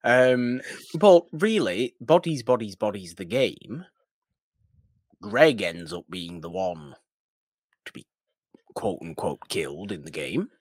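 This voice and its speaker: Low, lively-voiced man chatting about films. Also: slow, long pauses, slightly rough, no echo, studio quality. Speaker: podcast co-host discussing films. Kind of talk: casual film discussion.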